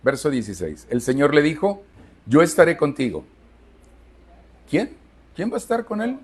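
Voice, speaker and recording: A man's voice: a little high, wildly swooping pitch, normal speed, darkish tone, slightly rough. A Mexican man in his sixties preaching a Sunday sermon to his church, emphatic, asking the congregation questions and answering them himself. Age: 60s. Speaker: pastor. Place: church service.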